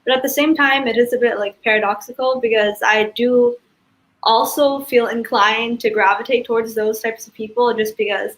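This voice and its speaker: A woman's voice: a little high, steady tone, moderate pace, rough recording. A young woman student on a panel, speaking thoughtfully and dropping "like" often. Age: young adult. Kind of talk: reflective casual talk.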